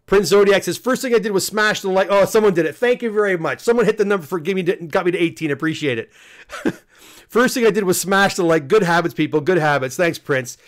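A man in his fifties with a fairly high voice, chatting casually on a livestream from a small home room. He reads viewer comments aloud, laughs easily and keeps nudging viewers to press the like button. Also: fairly quick. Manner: chatty, laughs often, coaxes viewers to hit like. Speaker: livestream host reading viewer chat. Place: home studio room, indoors.

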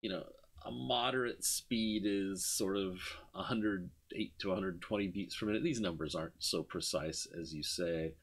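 A man with a fairly low voice teaches in an informal lecture style. He explains things conversationally, often setting up a question and then answering it himself. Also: moderate pace. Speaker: university music lecturer. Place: indoor room, screen-recorded lecture with webcam.

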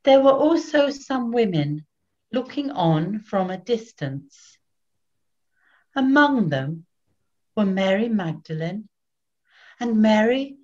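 A woman in her sixties giving a solemn, measured scripted Bible reading as narrator, recorded over a video call at home. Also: medium pitch, a little mellow. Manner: measured, deliberate. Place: small room at home. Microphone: video call, computer at arm's length. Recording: dull webcam video call audio.

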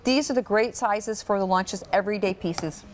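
A woman's voice: mid-range voice, normal speed. A middle-aged woman presenting products on a live shopping show, chatty and homey, sharing practical kitchen tips. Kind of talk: live home shopping demonstration. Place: TV studio kitchen set.

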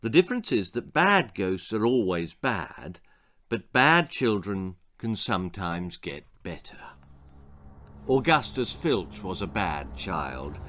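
A man, telling a spooky children's story in a measured, theatrical, suspenseful way. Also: lowish voice, sing-song, fairly bright tone. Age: older, sixties. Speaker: author reading his own children's story. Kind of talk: dramatic storytelling, spooky children's tale narration. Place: book-lined room indoors.

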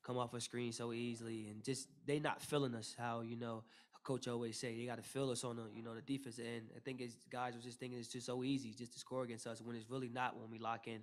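A young man, a basketball player, answering questions at a postgame press conference into a table mic, with a steady delivery full of "you know" fillers and restarts. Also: mid-range voice, fast talker. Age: young adult. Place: press room with logo backdrop. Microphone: table mic in front.

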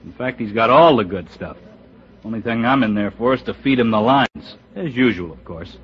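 An American actor playing a brash, resentful comedy sidekick in an old-time radio drama. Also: male voice, medium pitch, expressive, normal speed, close to an everyday voice. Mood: animated. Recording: muffled old radio broadcast recording.

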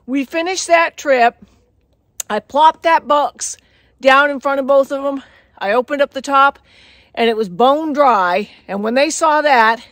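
Outdoorsy woman guide, high voice, chatty and down to earth, out in the snow. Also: a bit bright, no room sound. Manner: folksy, plainspoken storyteller. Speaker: Maine guide, show host. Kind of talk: casual outdoor demonstration. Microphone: camera mic, handheld at times.

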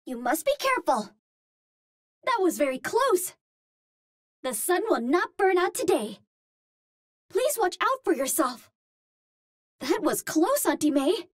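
A cute young female Martian explorer for a video game. Her voice is extremely high and girlish, with lively melodic swings. She speaks at an unhurried pace, choosing formal, precise words with earnest politeness. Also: no room sound, studio quality, heavily acted. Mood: concerned, determined. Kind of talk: video game hero callouts.

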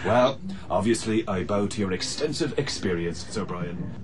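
A man's voice: on the low side, expressive, normal speed, crowd noise, lightly acted. An actor playing an unflappable, teasing barman with an Irish accent in a radio drama, wry and familiar.

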